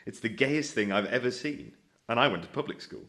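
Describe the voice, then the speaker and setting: An actor playing a greedy, scornful college master in a comic radio play, with an imperious, dry, exasperated manner. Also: male voice, medium pitch, expressive, fairly quick, pushed and bright. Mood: scheming, smug.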